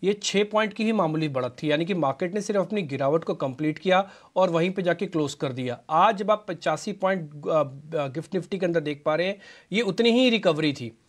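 A man's voice: high-pitched, expressive, brisk. A man giving technical chart analysis on live TV from a studio, instructing viewers directly, on a lapel mic. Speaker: market analyst. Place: TV news studio.

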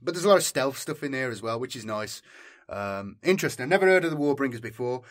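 A man's voice: medium pitch, animated, quick pace. A male host talks casually to his viewers without a script. He rambles along informally, thinking aloud as he goes. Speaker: channel host. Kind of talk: casual unscripted chat to viewers.

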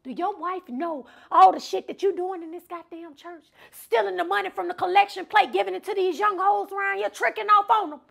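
A woman playing a loud, confrontational, foul-mouthed churchgoing wife in a comedy sketch. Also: very high-pitched, fairly even intonation, fairly quick, gravelly, hardly any pauses, full character voice. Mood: indignant. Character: furious foul-mouthed churchgoing wife exposing everyone's secrets. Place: furnished living room at home.

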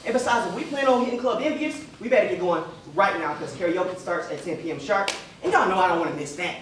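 A man's voice: high voice, quick pace, crowd noise, natural voice. A young man acting on stage as an eager, goofy, devoted suitor. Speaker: stage actor. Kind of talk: live stage comedy-drama acting. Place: indoor theater stage. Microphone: far camera. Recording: echoey theater, distant mic, audience sounds.

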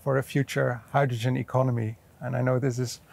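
A man's voice: mid-range voice, flat delivery, a little fast, vocal fry, a little mellow, no room sound. A man in his thirties interviewing guests outdoors, friendly and questioning, on a lapel mic. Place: outdoors at an industrial port site.

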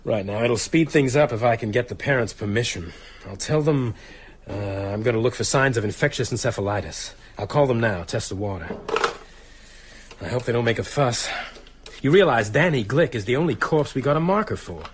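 An actor playing a dry, wry small-town American doctor in a radio drama. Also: male voice, medium pitch, lively intonation, a little fast, slightly rough. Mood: dry, thoughtful. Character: wry, brisk small-town American doctor, sceptical but open-minded.

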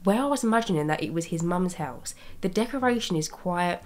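A young woman narrates her building video in a casual, rambling way. Her voice sits a little low and carries a slight rasp from a lingering cold. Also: animated, brisk, a little mellow. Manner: chatty, rambling, with small laughs. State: raspy from a cold. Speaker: Sims speed-build YouTuber. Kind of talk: casual commentary over gameplay. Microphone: voice-over recorded separately from gameplay.

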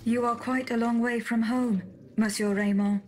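Casting: actress as female automaton bodyguard, higher voice, measured, formal, inquisitive, video game drama. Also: fairly even intonation, darkish tone, lightly acted. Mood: measured, inquiring. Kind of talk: game cutscene voice acting.

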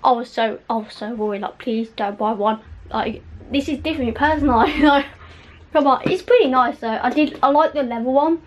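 A woman's voice: sits high, normal speed, a little hiss or hum. A woman, chatting in a rambling, giggly way while vlogging from a bedroom at night. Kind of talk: casual chat. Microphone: handheld camera.

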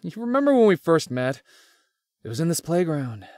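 An actor voicing a devoted, earnest young man in an anime-style romance game, speaking haltingly. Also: fairly high pitch, big pitch swings, a little mellow, no echo, studio quality. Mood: reflective, tender. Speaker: voice actor in a visual novel.

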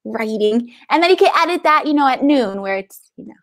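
A woman in her thirties with a slightly high voice hosts an online library chat. She is chatty and upbeat, talking casually through an earphone mic on a video call. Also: expressive, brisk. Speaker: library event host. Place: indoor room, video call. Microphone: earphone headset on video call.

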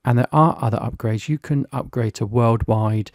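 British man, gravelly, dry close mic, walk-through tutorial read. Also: medium pitch, moderate pace, darkish tone, no room sound. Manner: plain, explanatory, lightly chatty. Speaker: software reviewer. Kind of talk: screen-recorded software tutorial.

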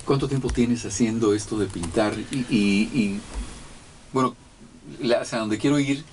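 Un hombre conductor de radio que hace preguntas de forma conversacional a sus invitados. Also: male voice, medium pitch, a little slow, darkish tone, slight background noise, poor audio. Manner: conversational, searching questions. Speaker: radio co-host. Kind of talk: radio interview questions. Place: small radio studio. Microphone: desk studio mic.

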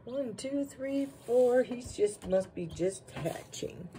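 Woman, slow pace, soft dark tone, casual vlog narration, plain-spoken, slightly noisy home recording. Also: on the high side, expressive. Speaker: farm vlogger.

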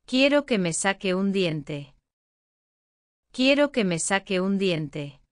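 A woman's voice: mid-range voice, big pitch swings, slow pace, smooth, dry recording. A woman reading short phrases aloud twice each for a language lesson, with careful enunciation into a close mic. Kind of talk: slow repeated phrase reading.

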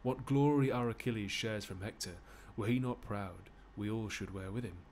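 A man playing a shrewd old Greek statesman, declaiming verse from a classical play in a measured way. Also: on the low side, a little mellow, slight background noise, natural voice.

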